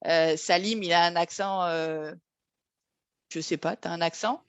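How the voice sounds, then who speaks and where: A woman's voice: on the low side, fairly even intonation, measured pace, clean tone, no room sound. A woman with a French accent hosting an informational talk, explaining things in a friendly way with many hesitations. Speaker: host.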